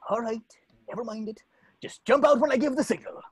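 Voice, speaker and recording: An actor voicing a gruff, bossy male ghost pirate captain with a raspy, blustering delivery, in a cartoon script reading. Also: mid-range voice, brisk, no room sound, natural voice. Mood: grudging. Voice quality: raspy, gravelly. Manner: theatrical, blustering, swooping.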